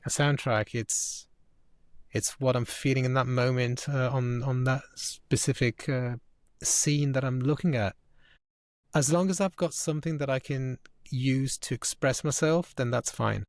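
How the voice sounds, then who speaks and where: A man's voice: mid-range voice, darkish tone, dry recording. Italian-born male guest, faint northern English accent, mid-range voice, hesitant and full of restarts, dry close sound. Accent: English with a slight northern tinge. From Italy. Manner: halting, many restarts and fillers. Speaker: photographer guest. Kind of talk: casual interview conversation.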